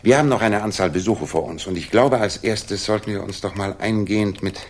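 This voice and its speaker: An actor playing a brilliant, brisk and commanding detective in a 1960s German radio drama, musing and deliberate as he speaks with authority. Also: on the low side, darkish tone, lightly acted. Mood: intent, explaining.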